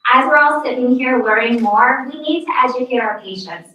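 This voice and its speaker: Young woman giving a talk, kinda high and bright, far from the mic in a big echoey hall. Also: a little fast. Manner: upbeat, counts points off one by one. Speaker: medical student presenter. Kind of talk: short prepared talk. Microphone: no working mic, heard from afar.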